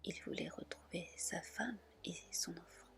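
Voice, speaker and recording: A woman reading folk tales as ASMR in a soft, breathy whisper, telling them gently and carefully. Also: lowish voice, measured pace. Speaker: ASMR storyteller, channel host.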